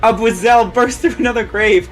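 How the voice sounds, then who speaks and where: A woman's voice: on the high side, moderate pace, faint music behind. A woman reading fan fiction aloud as a dramatic story narrator.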